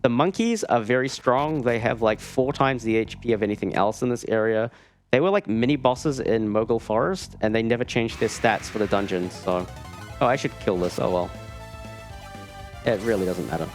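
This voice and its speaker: A man's voice: fairly low pitch, normal speed. Man commentating a game, music behind. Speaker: speedrunner playing and commentating. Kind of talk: live gameplay commentary, casual. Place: gaming marathon event, streamed. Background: video game music.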